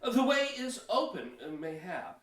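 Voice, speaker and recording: A man's voice: high voice, sing-song, normal speed, a bit bright. A man playing a cautious, pious Pilgrim church elder in a historical audio play, with hesitant, formal archaic phrasing. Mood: doubtful, wary. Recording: roomy echo, distant from mic.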